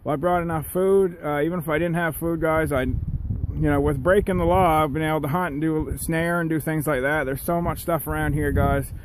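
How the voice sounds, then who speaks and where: Higher-voiced man vlogging over outdoor noise. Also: fairly even intonation, fairly quick, hardly any pauses. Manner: folksy, conversational, keeps addressing viewers as guys. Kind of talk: casual rambling vlog to camera. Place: outdoors in rural woodland. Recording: noisy outdoor recording with ambient rumble.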